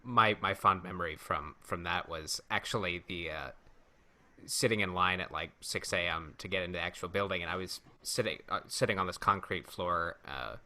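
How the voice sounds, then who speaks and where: Man, podcast host, lowish pitch, bright forceful tone, casual storytelling, halting phrasing. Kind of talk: casual chat, anecdotes.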